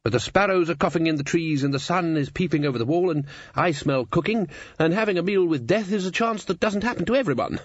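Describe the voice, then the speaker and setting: A man's voice: fairly high pitch, animated, quick pace, no room sound, highs cut off, pitched above the performer's own voice. A man voicing a jovial older wizard who runs a university, bluff and courteous, in a storybook reading. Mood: courtly, hearty. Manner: bluff, conversational, courteous with hesitations.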